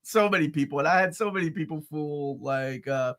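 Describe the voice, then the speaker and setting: A man's voice: on the high side, fairly quick. A man from Peru and the United States with a light Midwestern accent, hosting a casual live-stream chat and telling stories with laughter. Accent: light Midwestern American. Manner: excitable, laughing, animated storyteller. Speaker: host.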